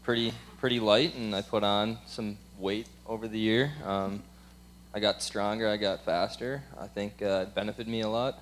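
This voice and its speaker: A man's voice: lowish voice, fairly even intonation, moderate pace, a little hiss or hum, low-quality recording. A man in his twenties from Wisconsin, a college athlete giving casual interview answers on stage. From Wisconsin, United States. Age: 20s. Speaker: college hockey player. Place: on stage at a live event.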